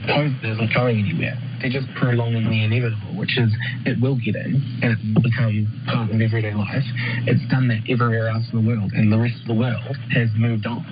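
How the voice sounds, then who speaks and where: A man's voice: medium pitch, little pitch movement, brisk, over loud music. A man giving a statement of opinion for a radio news report. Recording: dull shortwave radio reception.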